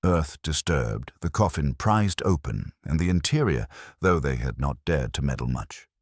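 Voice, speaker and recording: Very deep-voiced man narrating a mystery. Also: animated, no echo, clean studio sound. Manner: measured, literary storytelling. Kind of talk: scripted radio mystery narration.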